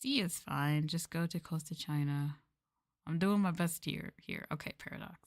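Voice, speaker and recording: A soft-spoken woman chatting casually and playfully with viewers as the host of an ASMR livestream. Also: low voice, normal speed. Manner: soft-spoken, playful, drifting into close-mic whispers. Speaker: VTuber streamer and host.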